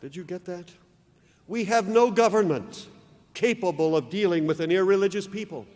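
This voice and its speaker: A man preaching a televised sermon with impassioned, dramatic swings from loud declarations down to hushed lines. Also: high-pitched, moderate pace, in a large hall. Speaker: pastor.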